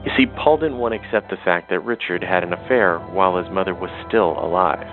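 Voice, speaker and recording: An actor playing a brash, defiant younger brother in an audio soap opera, cocky and needling. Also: male voice, sits low, a little fast, music in the background. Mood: insistent. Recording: dull, phone-like narrow sound.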